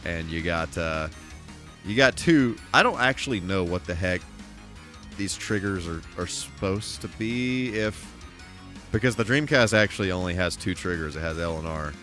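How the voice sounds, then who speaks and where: Male game streamer, lower register, very lively intonation, rambling and emphatic, music underneath. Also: normal speed. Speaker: live streamer and host. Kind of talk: casual rambling game livestream chat. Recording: music playing behind the voice.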